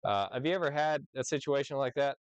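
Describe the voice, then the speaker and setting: A man's voice: medium pitch, expressive, brisk, no room sound, dull audio. A man teaching informally as an instructor, reading out rules and explaining them conversationally, often checking in with "right?". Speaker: flight instructor leading a discussion.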